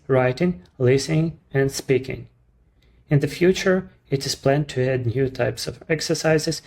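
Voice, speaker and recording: A man with a Ukrainian accent, a native Ukrainian speaker, walking through a software demo in English in a measured, explanatory way. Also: medium pitch. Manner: measured, explanatory, slightly non-native phrasing. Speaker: app creator presenting his service. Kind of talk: screen-recorded software walkthrough.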